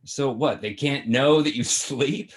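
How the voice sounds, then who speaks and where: A man's voice: medium pitch, a little slow, close to an everyday voice. A man reading a sitcom dad in his sixties who needles his son, over a video call. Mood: teasing, nagging. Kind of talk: sitcom script table read. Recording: video call, somewhat distant mic.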